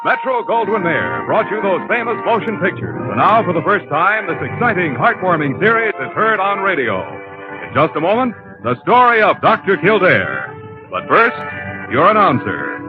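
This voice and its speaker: A man's voice: high voice, wildly swooping pitch, normal speed. A man announcing a 1950s radio drama in a grand manner. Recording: dull old radio recording with loud music.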